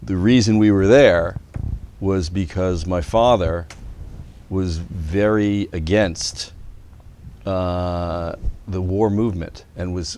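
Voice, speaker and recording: Deep-voiced middle-aged male priest. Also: a little mellow, a little hiss or hum. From born in Sweden, raised partly in the US. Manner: chuckling, self-deprecating, with restarts. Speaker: priest and guest. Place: television studio.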